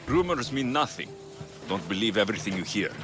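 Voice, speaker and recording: A cocky young mercenary in a video game cutscene. His voice sits fairly low but moves in very lively swings, wry and taunting, sure of himself. Music is clearly present behind it. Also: fairly quick. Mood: confident, bargaining. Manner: wry, taunting, self-assured. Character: cocky, dry-witted young male Greek mercenary.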